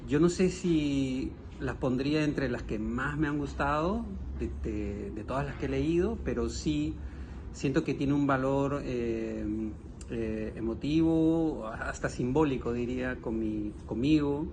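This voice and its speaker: A man's voice: mid-range voice, measured pace. A man, discussing a book conversationally in a reflective way and often searching for his words. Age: 50s. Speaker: guest writer commenting on a novel. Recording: noticeable background ambient noise.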